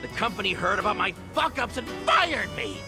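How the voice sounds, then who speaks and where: An actor playing a hot-tempered, aggrieved ex-producer in a video game, whining and ranting indignantly. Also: male voice, sits high, big pitch swings, fairly quick, creaky, full character voice. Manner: whiny, indignant, foul-mouthed ranting. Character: hot-tempered, aggrieved fired-producer man working as a waiter. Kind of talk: dramatic video game voice acting. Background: music.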